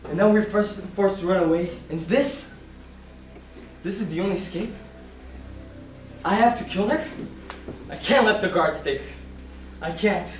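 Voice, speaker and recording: Man acting high-voiced anguished young lover. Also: measured pace, long pauses, faint music behind. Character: devoted, anguished young male lover, a fugitive. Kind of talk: amateur stage acting. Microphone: distant camera microphone. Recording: echoey noisy theatre, distant camera mic.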